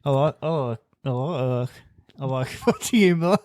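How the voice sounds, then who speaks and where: A man's voice: medium pitch, animated, moderate pace, a little mellow, no echo, clean studio sound. A man co-hosting a sports podcast in an informal, somewhat hesitant chatty way. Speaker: podcast co-host. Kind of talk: casual sports chat.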